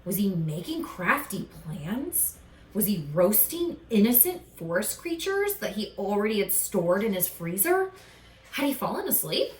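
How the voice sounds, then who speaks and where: Female storybook narrator: expressive, slow and suspenseful, with an echoey, slightly distant recording. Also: medium pitch, slight background noise. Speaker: storybook reader. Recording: echoey room, distant from mic.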